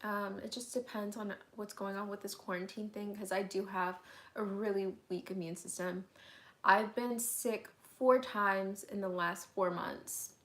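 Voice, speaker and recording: A young woman, hoarse and getting over an illness, chatting candidly through a live Q&A at home, answering viewer comments with lots of "um"s and "like"s. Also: medium pitch, fairly even intonation, unhurried. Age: young adult. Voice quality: raspy. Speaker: vlogger hosting a live Q&A. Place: at home, indoors.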